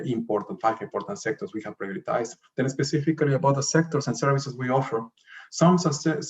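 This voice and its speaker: A man delivers an official slide presentation in a mid-pitched voice. He speaks haltingly, repeating and rewording as he goes. The sound is slightly muffled, like a video call. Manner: halting, repeats and rephrases words. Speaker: investment promotion director presenting. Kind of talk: slide presentation to an online summit. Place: remote online video call. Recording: dull, lo-fi video call audio.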